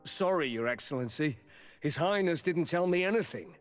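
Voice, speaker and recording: An actor playing a deferential, uneasy palace bodyguard in a video game, speaking haltingly and respectfully. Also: male voice, a little high, animated, faint music behind, natural voice. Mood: uneasy, apologetic. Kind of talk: dramatic video game cutscene acting.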